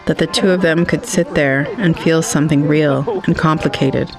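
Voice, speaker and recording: A man reading scripted literary narration for an audio drama in a measured, wistful manner. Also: on the high side, fairly even intonation, over music.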